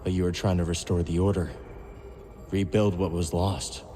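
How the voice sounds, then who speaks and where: A man's voice: low-pitched, flat delivery, moderate pace, warm tone, natural voice. An actor playing an earnest, guarded young man, a Jedi fighter in a video game, speaking in an understated way. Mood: quietly hurt. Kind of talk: cinematic video game acting. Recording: long reverb, faint music behind.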